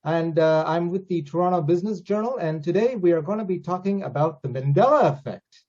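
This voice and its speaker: A man's voice: high-pitched, expressive, fairly quick, darkish tone. A man hosting a conversational interview, speaking in an agreeable, slightly hesitant way on a lo-fi recording. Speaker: interviewer and host. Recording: dull, lo-fi voice recording.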